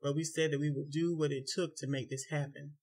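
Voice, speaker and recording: A frustrated husband, stern and hurt, speaking low and close. A man narrating the story gives him his own voice, kept tight and restrained. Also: moderate pace, fairly bright tone, slightly rough. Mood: soft. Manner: low and tight, dropping to near whisper.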